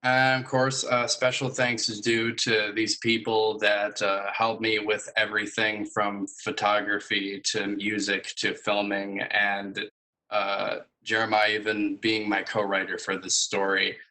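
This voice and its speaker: A young man giving a semi-formal presentation about his student project, speaking in a hesitant, measured way. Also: fairly low pitch, completely flat delivery, bright, forceful tone, rough recording. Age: young adult.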